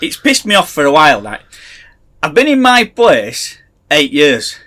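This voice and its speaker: A middle-aged Yorkshire man in easy podcast banter. His voice sits a touch high and sounds bright, with plenty of lift and fall as he slips into wry rants. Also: wildly swooping pitch, unhurried. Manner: wry, chatty, ranting asides. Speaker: podcast co-host. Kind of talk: casual banter.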